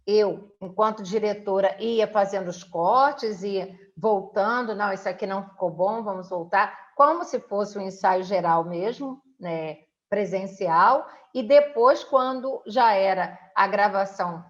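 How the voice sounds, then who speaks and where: Woman, Brazilian accent, very slow delivery, conversational, reflective, tag questions, lo-fi sound. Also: medium pitch. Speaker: interviewer, theatre director. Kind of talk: conversational interview talk. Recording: dull, lo-fi audio.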